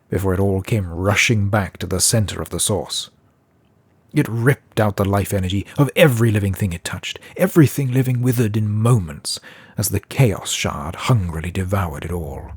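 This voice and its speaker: A man's voice: on the low side, animated, moderate pace, slightly rough, dry recording. A man narrating a scripted fantasy audiobook as a dramatic storyteller.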